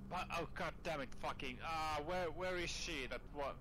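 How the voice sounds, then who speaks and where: A man's voice: fairly high pitch, normal speed, background noise. A man taking part in a tabletop role-playing game, reacting informally in casual, sweary chat.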